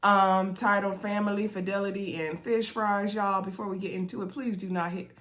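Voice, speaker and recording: A woman's voice: mid-range voice, fairly even intonation, moderate pace, a bit bright, poor audio. A young woman with a sore throat and congestion chatting casually to her viewers as she recaps a reality TV episode, with lots of ums and y'alls. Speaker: TV recap host.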